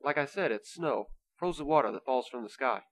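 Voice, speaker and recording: A man plays a kindly Saint Nicholas, a patient dreamer in an amateur radio comedy. He reasons earnestly in a mid-pitched, very animated voice, recorded dry. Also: a little fast, no room sound, lightly acted. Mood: patient, pleading.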